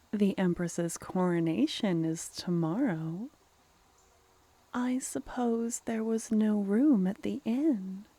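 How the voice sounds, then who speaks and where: A woman voicing a regal, mischievous female vampire in a softly spoken ASMR fantasy roleplay, teasing and mock-polite. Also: mid-range voice, no echo, exaggerated voice. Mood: mock sympathy. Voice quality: soft, breathy.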